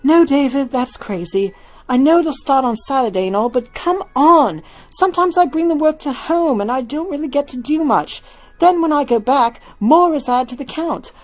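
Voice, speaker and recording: A woman voicing a tired, sarcastic but caring young woman in a fan fiction reading, in a wry, animated way. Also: high voice, moderate pace, voice pushed up. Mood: exasperated.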